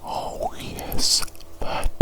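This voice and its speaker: Woman acting as evil doctor, breathy whisper, very slow pace, soothing, deliberate, repetitive phrasing, ASMR medical roleplay. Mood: soothing, attentive. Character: evil doctor soothingly tending a big creature. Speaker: ASMR performer.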